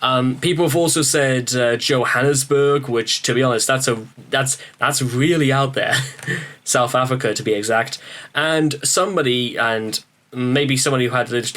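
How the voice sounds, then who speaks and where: A man from northeast England hosting a reaction video, rambling and joking at the camera, swearing freely and stumbling over restarts as he speaks to "ladies and gentlemen". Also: mid-range voice. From Newcastle upon Tyne, northeast England. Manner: joking, swearing, self-mocking, often stammers on restarts; addresses viewers as ladies and gentlemen. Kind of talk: rambling casual chat to camera.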